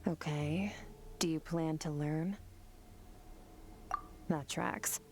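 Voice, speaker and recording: Cool, aloof low-voiced warrior woman. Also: fairly even intonation, extremely slow, darkish tone, slight background noise. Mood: calm, explanatory. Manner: cool, detached, businesslike. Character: aloof, stern, powerful warrior woman and mentor. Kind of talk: scripted video game voice acting.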